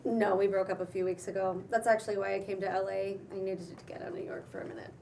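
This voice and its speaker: A woman's voice: mid-range voice, flat delivery, fast talker, gravelly, darkish tone, recorded from a distance, a little hiss or hum, natural voice. A woman playing a dry, skeptical but curious young woman in a casual, conversational table read. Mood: defensive, curious. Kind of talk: cold table read of a pilot script.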